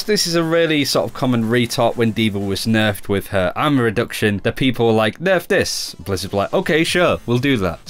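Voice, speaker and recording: A man's voice: mid-range voice, fairly bright tone. A man hosting a gaming video, giving chatty, wry, lightly sarcastic commentary on game clips.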